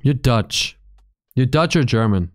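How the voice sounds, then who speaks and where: A man in his thirties streaming from a small gaming room into a boom mic, chatting casually with his viewers, joking and swearing freely. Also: animated, unhurried, dry recording, studio quality, soft background music. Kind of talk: casual chat reading live chat. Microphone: studio mic on boom arm, headphones.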